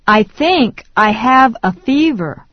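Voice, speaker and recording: Slow, deliberate woman reading English. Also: fairly high pitch, animated, no echo. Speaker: English phrase reader. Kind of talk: slow repeat-after-me English drill. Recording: dry, dull lo-fi studio read.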